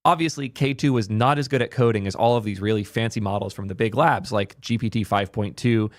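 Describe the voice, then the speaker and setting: Excitable young man, hoarse, dry close mic. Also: on the low side, fairly quick, no room sound. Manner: excitable, restarts lines, swears casually. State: voice a bit hoarse, clearing throat. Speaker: tech video host. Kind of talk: casual tech commentary to camera.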